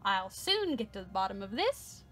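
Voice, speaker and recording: A woman voicing a confident, businesslike male vet who speaks briskly. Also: mid-range voice, big pitch swings, fairly bright tone, soft background music. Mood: brisk assured.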